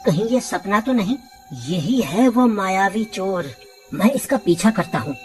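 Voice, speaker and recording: Woman as a slow-talking, nosy village man. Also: mid-range voice, lively intonation, faint music behind, pitched below the performer's own voice, lightly acted. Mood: wary, alarmed. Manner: questioning, earnest. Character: curious, suspicious, good-hearted village man, cartoon hero.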